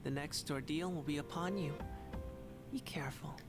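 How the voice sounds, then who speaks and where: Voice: mid-range voice, moderate pace, natural voice. Actor voicing a cryptic ghost boy. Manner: soft, solemn. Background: game music.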